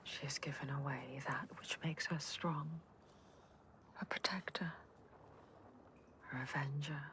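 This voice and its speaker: Foreboding female spirit, low-pitched, very slow, breathy whispering, cryptic, game narration, noisy ambience. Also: fairly even intonation, a bit bright. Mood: solemn, foreboding. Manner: slow, measured, portentous. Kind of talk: dramatic video game narration.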